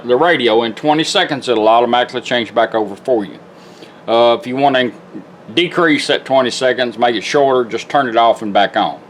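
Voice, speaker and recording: A plain-spoken man giving a casual hands-on product demonstration in a folksy way. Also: medium pitch.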